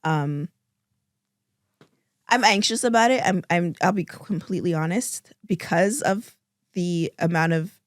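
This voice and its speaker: A woman's voice: lowish voice, measured pace, pauses often, dry recording, studio quality. A woman in her thirties co-hosting a casual podcast, congested and hesitant, with many restarts and fillers. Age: 30s. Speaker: podcast co-host. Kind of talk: casual chat.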